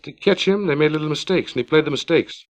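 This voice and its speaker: A man's voice: fairly high pitch, fast, voice pushed up, lightly acted. A man reading an earnest small-town man's emphatic, colloquial dialogue in a story, on a 1950s record. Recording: dull 1950s vinyl record.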